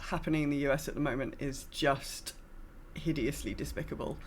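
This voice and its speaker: A woman co-hosting a podcast in casual chat, talking in a halting, self-deprecating way. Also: deep voice, fairly even intonation, a little hiss or hum. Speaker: podcast co-host.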